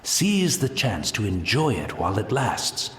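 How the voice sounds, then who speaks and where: A serene old blind tea master, gentle, courteous and at peace with his fate. He speaks reflectively, and his voice rises and falls. The sound is roomy, with a faint hiss behind it. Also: on the low side, wildly swooping pitch, measured pace. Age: older. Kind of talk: game voice acting.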